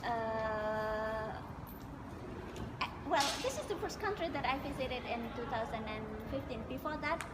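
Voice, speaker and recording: High-voiced Indonesian woman in an echoey hall. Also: lively intonation, a little slow. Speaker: interviewee. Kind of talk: casual interview answers. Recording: echoey hall with loud background noise.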